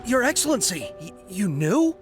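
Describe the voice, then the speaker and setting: A zealous, loyal young soldier from a video game voiced by a woman. The delivery is earnest and deferential, with lively swings in pitch. Music is clearly audible behind the lines. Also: normal speed, lightly acted. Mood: startled. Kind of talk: scripted video game voice acting.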